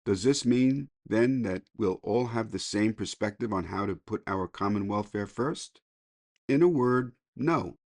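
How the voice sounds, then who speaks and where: A mature man reading a daily recovery meditation in a measured way, as a podcast reader. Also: lowish voice, darkish tone, dry recording, studio quality.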